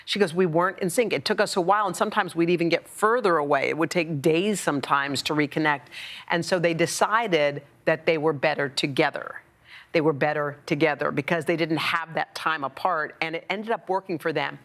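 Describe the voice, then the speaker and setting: A woman's voice: deep voice, a little fast, fairly bright tone. A woman hosting a talk show in casual conversation, retelling what others said in an involved, conversational way.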